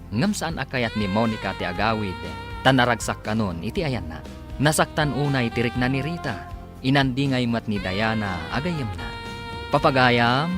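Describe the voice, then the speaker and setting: A man with an Ilocano accent narrating and announcing a radio drama in a dramatic scripted style. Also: medium pitch. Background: music.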